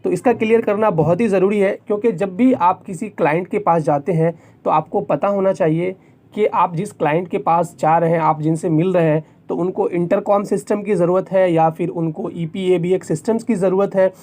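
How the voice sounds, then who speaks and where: A man's voice: high voice, fairly even intonation, normal speed, darkish tone. A man with an Indian accent presenting a tech tutorial, explaining things step by step and often repeating points for clarity. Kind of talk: explanatory tutorial, semi-scripted.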